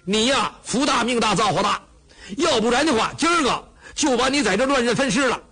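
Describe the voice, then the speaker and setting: A man voicing a rough, loud young male bandit hero who talks bluntly and blusters. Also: very high-pitched, big pitch swings, normal speed, voice pushed up, full character voice. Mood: blustering.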